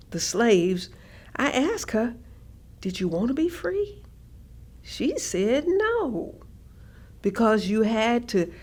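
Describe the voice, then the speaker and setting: Woman acting higher-voiced, earnest storytelling grandmother. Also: dark tone. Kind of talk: staged reading of oral history.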